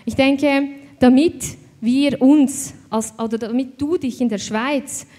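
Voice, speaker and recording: A woman's voice: sits high, takes it slowly, warm tone. A woman from Switzerland with a Swiss German accent, speaking reflectively on a panel with many hesitations. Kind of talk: panel discussion. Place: event hall. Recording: hall reverb.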